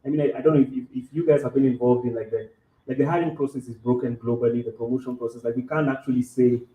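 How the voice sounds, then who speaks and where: A man's voice: mid-range voice, steady tone, quick pace, echoey room, narrow, tinny audio. A man from Kenya speaking on a live café panel, restarting often and repeating words as he searches for phrasing, in a mostly neutral accent. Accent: neutral English, faint Kenyan.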